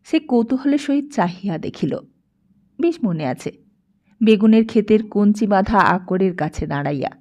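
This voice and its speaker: A woman narrates a literary story a script in a measured, expressive way. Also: medium pitch. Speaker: audiobook narrator.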